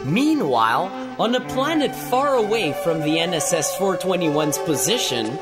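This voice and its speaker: A man's voice: high-pitched, sing-song, moderate pace, loud music behind the voice. A man performing as the narrator of a scripted sci-fi radio play. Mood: scene-setting. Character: story narrator of a sci-fi serial.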